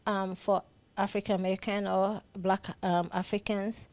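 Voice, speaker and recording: A woman's voice: mid-range voice, little pitch movement, moderate pace, darkish tone. A woman with a Nigerian accent speaking as an interview guest, earnest and emphatic, often repeating phrases to stress them. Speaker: psychiatric nurse practitioner, interview guest. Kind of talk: conversational interview.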